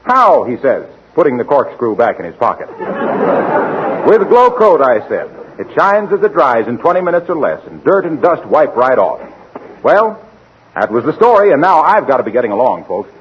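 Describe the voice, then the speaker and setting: A man acting as a cheerful salesman neighbour with smooth sales patter in a 1940s radio comedy. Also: mid-range voice, sing-song, normal speed, lightly acted. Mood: chummy, eager. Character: cheerful salesman neighbour slipping in floor wax pitches. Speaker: announcer. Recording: dull, faintly noisy 1940s radio recording.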